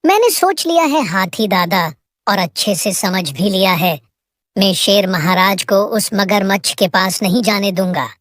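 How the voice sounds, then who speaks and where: A worried, loyal father deer in an animal cartoon, acted by a woman. He has a mid-pitched voice and an earnest, humble, emotional way of talking. The recording is dry. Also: expressive, unhurried, no echo, natural voice. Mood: anxious, resolute. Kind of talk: children's animal moral-story cartoon.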